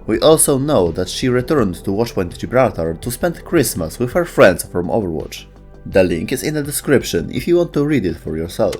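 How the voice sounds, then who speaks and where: A man's voice: on the low side, very animated, normal speed, a little mellow, soft background music. A man reading scripted narration for a video about video game lore.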